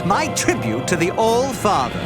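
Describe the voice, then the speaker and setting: An actor playing a pompous, power-hungry god of mischief in a video game, speaking in grand archaic phrases. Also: male voice, high voice, wildly swooping pitch, moderate pace, loud music behind the voice. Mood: triumphant menace. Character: gloating, scornful male god of mischief, power-hungry trickster villain. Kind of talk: video game villain acting.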